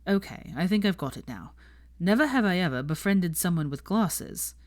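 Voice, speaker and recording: A woman's voice: on the low side, expressive, moderate pace, a little mellow, no room sound, studio quality, close to an everyday voice. A woman voicing a haughty, sardonic young male wizard with a drawl in a story reading. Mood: dry.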